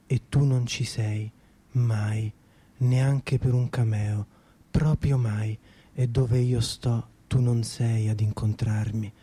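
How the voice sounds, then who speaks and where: Male performer for poetic monologue, very dark husky voice, unhurried dramatic recitation. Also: mid-range voice, steady tone.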